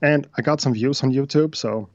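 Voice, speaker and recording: Brief: Swedish-accented man, non-native English speaker, halting guest talk, dull dry call audio. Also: mid-range voice, no room sound. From Sweden. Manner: hesitant, many uhs and restarts. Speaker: guest beatmaker. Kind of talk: casual interview chat.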